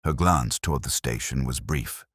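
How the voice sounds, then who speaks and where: Very deep husky male narrator. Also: moderate pace, no room sound, studio quality. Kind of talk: scripted mystery narration.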